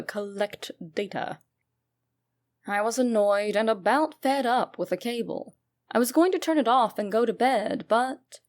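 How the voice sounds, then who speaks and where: Female narrator for creepy internet fiction: noticeable vocal fry, emphatic and wry storytelling. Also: on the high side. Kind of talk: dramatic first-person horror story reading.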